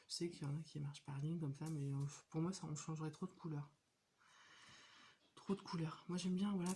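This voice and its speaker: A woman in her thirties with a cold, chatting casually and hesitantly about her crafting hobby, with many pauses and fillers. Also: low voice, warm tone, slight background noise. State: has a cold. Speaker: hobby vlogger. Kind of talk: casual show-and-tell chat.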